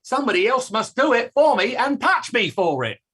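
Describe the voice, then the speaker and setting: An actor playing an aggrieved old dustman with a raspy voice, declaiming his complaints in a staged reading. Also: very high-pitched, sing-song, normal speed, fairly bright tone, full character voice. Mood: indignant. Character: blustering, aggrieved old working-class English dustman.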